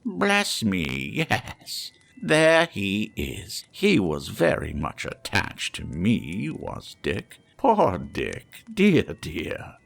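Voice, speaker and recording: A man's voice: on the low side, huge pitch swings, very slow, creaky, few pauses, fairly bright tone, full character voice. A man voicing an elderly male miser who exclaims eagerly over old memories, reading a storybook aloud. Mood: fond.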